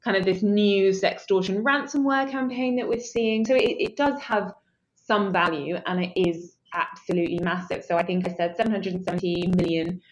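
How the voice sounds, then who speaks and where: A woman's voice: mid-range voice, normal speed, muffled recording, a little hiss or hum. A woman analyst explaining a security story on a podcast, articulate with a dry sense of humor, thinking aloud. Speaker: threat intelligence analyst. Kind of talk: conversational analysis.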